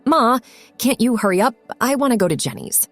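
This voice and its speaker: A woman's voice: a little high, animated, moderate pace, soft background music, natural voice. A woman voicing a whiny young sister in an audiobook story, complaining and goading. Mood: whiny complaint.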